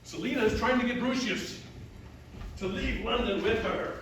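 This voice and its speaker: Eager high-voiced playwright, echoey room. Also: male voice, moderate pace. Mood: earnest. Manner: rambling, earnest, defensive. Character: eager male playwright William pitching his earnest tragic play. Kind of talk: theatrical comic dialogue. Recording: roomy echo, distant mic, faint noise.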